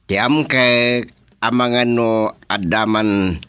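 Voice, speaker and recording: A man's voice: mid-range voice, fairly even intonation, slow pace, a bit bright, clean tone, no echo. A man acting a grumbling husband who drawls in a radio comedy-drama.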